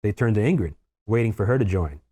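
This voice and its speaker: A man's voice: fairly low pitch, animated, quick pace, dark tone, slightly rough. A man reading stage directions aloud as narrator at a screenplay table read.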